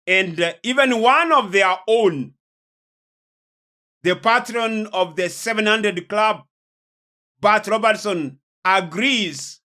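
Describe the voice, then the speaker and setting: Casting: man as a religious lecturer, unhurried and emphatic, with a sharp, forceful delivery and weighty repetition. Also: high-pitched. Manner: deliberate, emphatic, with pauses and repeated phrases. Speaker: preacher, host of a religious programme. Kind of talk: sermon-like lecture on prophecy and world events.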